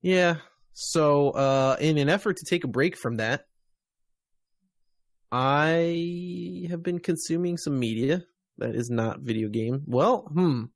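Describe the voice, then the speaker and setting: A man's voice: a little high, takes it slowly, dry recording. An American man hosting a podcast, chatting casually about games and media. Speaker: podcast host.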